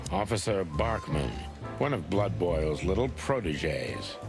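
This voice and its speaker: An actor playing a world-weary rooster detective in a noir video game, wry and laconic, with music underneath. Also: male voice, low voice, measured pace, exaggerated voice. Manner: deadpan, laconic, hardboiled.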